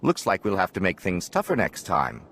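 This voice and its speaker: A man playing a brisk, encouraging combat trainer in a video game. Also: low voice, huge pitch swings, a bit bright, slight background noise, full character voice.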